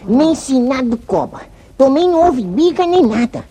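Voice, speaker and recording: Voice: high-pitched, very animated, normal speed, mellow, breathy edge, heavily acted. Um intérprete brasileiro de radionovela dos anos 1950 fazendo um moleque ajudante de herói, simples e agitado, de fala popular. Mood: alarmed reporting. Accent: Brazilian. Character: eager young boy sidekick of a cowboy hero. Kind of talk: 1950s radio western acting.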